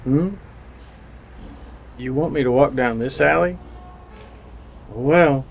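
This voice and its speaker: A man's voice: mid-range voice, slow pace, gravelly, muffled recording, slight background noise. An actor playing an earnest, jaded young ad man who narrates his misadventures in old-time radio drama style. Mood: hesitant, worried.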